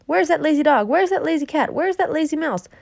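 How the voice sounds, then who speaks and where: Fussy storybook hen, put on by a woman reading aloud, shrill and high, complaining loudly, dry close sound. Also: lively intonation, fairly quick, creaky, dry recording. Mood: indignant. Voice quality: shrill, indignant.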